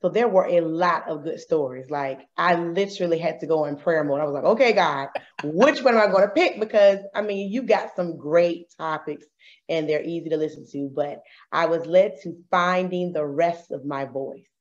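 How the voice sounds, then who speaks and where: Brief: low-voiced woman interview guest, thoughtful, slightly muffled audio. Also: normal speed. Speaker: interview guest, ministry volunteer and life coach. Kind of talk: casual interview chat.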